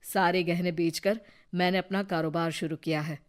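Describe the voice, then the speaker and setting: A woman's voice: on the low side, fairly even intonation, moderate pace, smooth, close to an everyday voice. A woman playing an earnest, determined young man, a wounded son, in a Hindi moral story. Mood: proud resolve.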